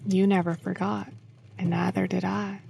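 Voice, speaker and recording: A woman's voice: medium pitch, flat delivery, normal speed, gravelly, mellow, slight background noise. A woman playing an older wife and grieving mother, frank and consoling, in an audio drama. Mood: sombre, consoling.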